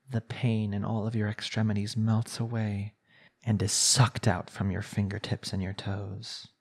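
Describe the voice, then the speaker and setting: Slow, low, creaky man as smug villain. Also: little pitch movement. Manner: smug, soothing, deliberate. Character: smug, coaxing young male villain hypnotist. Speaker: ASMR roleplay voice actor. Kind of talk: ASMR roleplay acting, slow hypnotic monologue.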